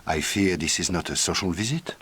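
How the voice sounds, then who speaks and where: An actor playing a learned, formal French archaeologist with a French accent in a video game cutscene. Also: male voice, low voice, fairly bright tone, a little hiss or hum. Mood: surprised concern. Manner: measured, formal, ominous. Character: grave, learned male French archaeologist, old friend of the heroine.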